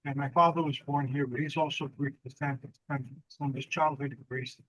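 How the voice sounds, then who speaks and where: A man's voice: mid-range voice, steady tone, a bit bright, dry recording, rough recording. Dry-sounding reflective male host. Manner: reflective, measured, with uh fillers. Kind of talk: interview hosting.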